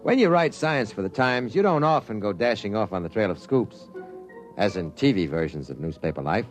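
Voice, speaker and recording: Wry, low-voiced newspaperman played by a man. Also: animated, moderate pace, music in the background, lightly acted. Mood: wry narrating. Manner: dry, wry delivery. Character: wry, worldly male newspaper science writer. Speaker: actor and narrator in a radio drama. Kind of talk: 1950s radio drama narration and dialogue. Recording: vintage 1950s radio drama recording.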